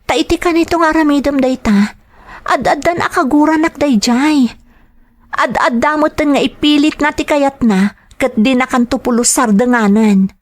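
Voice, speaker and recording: Woman playing a high-voiced, anxious young girlfriend. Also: moderate pace, natural voice. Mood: pleading, worried. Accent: Ilocano Filipino. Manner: pleading, apologetic. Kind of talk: dramatized Ilocano radio drama acting.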